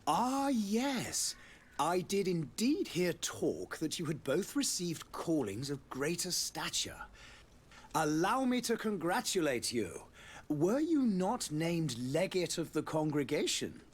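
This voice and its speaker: An actor playing a courtly ambassador in a fantasy video game, speaking in formal, ornate, deferential phrases. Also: male voice, fairly high pitch, big pitch swings, slow pace, slightly rough, full character voice. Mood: gracious. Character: courtly, ceremonious ambassador of high rank.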